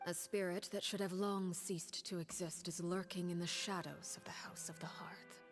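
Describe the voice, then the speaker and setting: A woman voicing a calm, commanding woman who leads a household of orphans, speaking in a soft, breathy half-whisper in a video game. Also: fairly low pitch, faint music behind, lightly acted. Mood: composed. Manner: calm, measured, courteous. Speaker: game character called Father.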